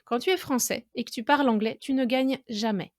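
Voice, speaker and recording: A woman's voice: a little high, fairly quick, no echo, studio quality. French woman hosting a reflective podcast. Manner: reflective, conversational, addresses listener directly. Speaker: podcast host and French teacher. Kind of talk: educational podcast monologue.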